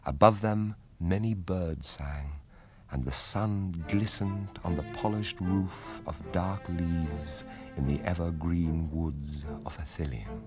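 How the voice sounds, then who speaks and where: A man narrating an epic fantasy radio drama as a calm, formal storyteller. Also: sits low, slow, over music. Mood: calm narration.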